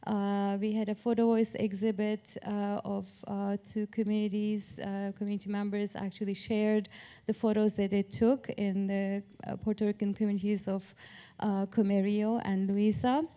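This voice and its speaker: Woman professor from turkey talking on a panel, smooth soft-edged voice, explains things step by step. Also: medium pitch, flat delivery, moderate pace. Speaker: academic panelist, professor of public policy. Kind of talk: informal academic panel presentation.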